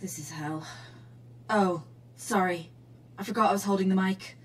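Husky, awkward actress as woman romance novelist. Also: medium pitch, moderate pace, close to an everyday voice. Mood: mortified. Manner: nervous, self-correcting, earnest.

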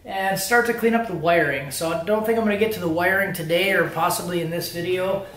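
A man's voice: sits high, a little fast, a bit bright. A man in his thirties talking casually to the camera in a home garage, explaining things informally as a car-build vlogger. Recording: roomy, echoing garage sound.

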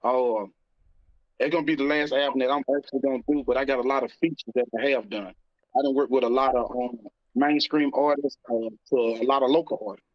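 A middle-aged American man answering interview questions casually, in a halting, informal way. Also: medium pitch, fairly even intonation, no echo. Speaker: interview guest, rapper and label CEO. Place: indoor room, video call. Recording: slightly muffled, dry call audio.

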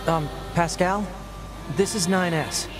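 A man's voice: high voice, slow pace. A man voicing a curious, earnest, youthful military android in a video game. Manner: earnest, inquisitive. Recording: music behind.

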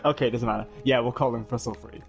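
A man's voice: mid-range voice, steady tone, fast, gravelly, lo-fi sound, soft background music. A young man chatting and joking while playing a game with a friend, swearing now and then. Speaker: co-player. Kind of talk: casual gaming chat.

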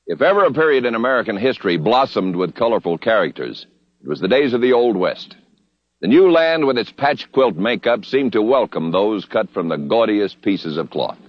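A man's voice: on the low side, big pitch swings, normal speed, dry recording, highs cut off. A man reading scripted narration as the host of a TV Western series, on a vintage soundtrack.

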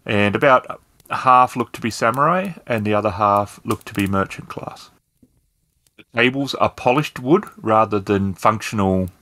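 A man's voice: fairly low pitch, fairly bright tone, no echo. A man narrating a tabletop roleplaying game as the storyteller, describing scenes in a hesitant, unscripted way.

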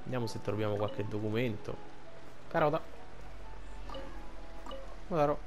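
A man's voice: lowish voice, measured pace, music in the background. A man giving casual, informal playthrough commentary as a gaming streamer, thinking aloud.

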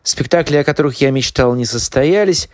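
A man reading a writer's words from a script, deliberately, phrase by phrase. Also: medium pitch, no room sound, studio quality. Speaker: reader of quotations.

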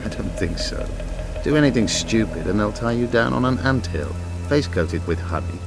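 Casting: proud elven guerrilla leader for a fantasy game, lowish voice, grandiose and menacing, music behind. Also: male voice, animated, moderate pace. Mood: menacing, haughty. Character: proud, bitter male elf rebel commander. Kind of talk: video game acting.

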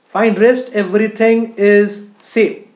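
A woman's voice: mid-range voice, flat delivery, takes it slowly, a little mellow. Indian-accented female coding instructor, explanatory screencast narration, mid-range voice, roomy echoing recording. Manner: explanatory, frequent "you know".

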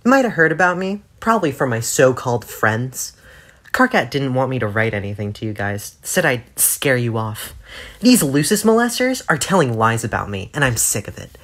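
Casting: woman to play vindictive young female alien troll, boastful and emphatic, very animated delivery. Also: a bass voice, normal speed, heavily acted. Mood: bitter, scornful. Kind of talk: scripted character monologue in audio drama.

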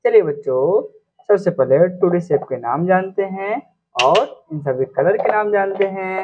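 A man's voice: high voice, animated, normal speed, noisy background. A man presenting a simple lesson to young children, repeating names in an instructional way. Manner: repetitive, instructional, addressing children. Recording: muffled, narrow phone-like sound.

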